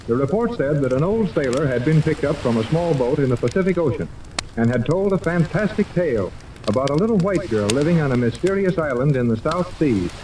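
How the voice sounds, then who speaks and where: A man's voice: on the high side, lively intonation, a little fast, close to an everyday voice. A man narrating a scripted 1930s radio adventure serial as its storytelling announcer. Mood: dramatic, measured. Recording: distant, noisy old 1930s radio recording.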